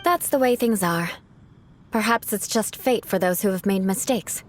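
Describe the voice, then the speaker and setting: A woman voicing a proud young aristocratic knight in a video game, speaking formally and haughtily with measured composure. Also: mid-range voice, lightly acted. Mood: composed, resigned. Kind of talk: video game dialogue acting.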